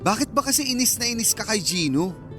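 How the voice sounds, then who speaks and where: A man's voice: high voice, sing-song, brisk, fairly bright tone, faint music behind. A man playing a cocky young Filipino in a radio romance drama, playful and boastful as he teases his cousin. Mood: teasing. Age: young adult.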